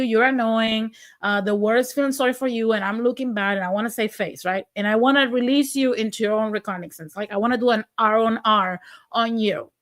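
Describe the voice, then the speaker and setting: Impassioned Puerto Rican woman, slightly high. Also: fairly bright tone. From Puerto Rico. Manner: impassioned and blunt, with sarcasm and occasional profanity. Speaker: livestream host. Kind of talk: political commentary, reading viewer comments.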